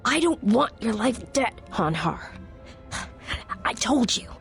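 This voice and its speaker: A woman's voice: fairly high pitch, very animated, slow, gravelly, full character voice. A woman playing a tough, sardonic bounty hunter in a video game, taunting and defiant. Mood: tense, defiant. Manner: sardonic, cocky. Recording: loud music under the voice.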